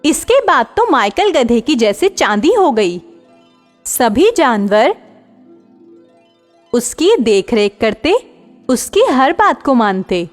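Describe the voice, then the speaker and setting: A woman narrating a children's cartoon story in an expressive storytelling manner. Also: fairly high pitch, slow, pauses often, big-room sound, soft background music.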